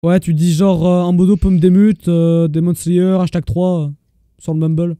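A man streaming a game, bantering quickly and excitably with his friends in casual chat. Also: high voice, clean tone, no echo, clean studio sound. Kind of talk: casual gaming chat over voice chat.

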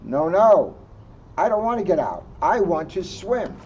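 A man's voice: sits high, sing-song, unhurried, darkish tone, heavily acted. A man voicing a whiny, stubborn young boy frog from a storybook. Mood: insistent, flustered. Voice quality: whiny, spluttering. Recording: muffled outdoor recording, background noise.

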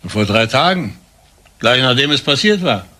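A man's voice: medium pitch, lively intonation, normal speed, bright, forceful tone. Curt, bitter older man. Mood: guarded. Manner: curt, defiant, repeats himself for emphasis. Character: bitter, defiant older family patriarch under police questioning. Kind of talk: German crime radio play acting.

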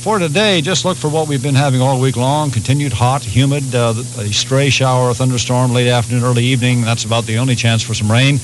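A man's voice: mid-range voice, fairly even intonation, fast talker, few pauses, rough recording. A man giving a radio weather forecast on a hissy 1980s recording with some hum.

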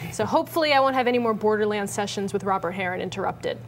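A woman's voice: medium pitch, fast, slight background noise. An American woman in her twenties co-hosting a tech show, talking in an informal, slangy, outspoken way. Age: 20s. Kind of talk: casual scripted tech chat. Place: indoor TV studio set.

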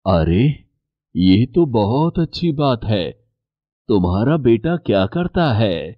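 Brief: calm cartoon father, slow and expressive, dry, dull-sounding recording. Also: fairly low pitch, no room sound, heavily acted. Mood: warm. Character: gentle middle-aged male bangle maker father.

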